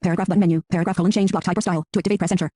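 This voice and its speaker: A man's voice: sits high, rapid-fire, no room sound. A synthetic male screen reader voice reading out interface labels in a clipped, robotic way. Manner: clipped, flat synthetic delivery.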